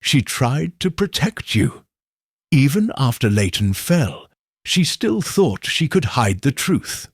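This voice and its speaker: A man voicing a cool, exacting middle-aged detective in an audiobook, speaking in a measured, coldly certain way with a husky voice. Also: medium pitch, big pitch swings, no room sound, studio quality, pitched above the performer's own voice, exaggerated voice. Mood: accusing. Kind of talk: audiobook character reading.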